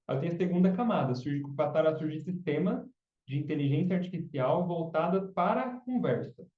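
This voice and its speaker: Guy explaining stuff on a podcast, kinda high but mellow voice, sounds far off and muffled, echoey room. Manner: explains patiently, often adds tag questions. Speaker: podcast guest, chatbot specialist. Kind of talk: casual explanatory interview talk. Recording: dull, roomy sound from off mic.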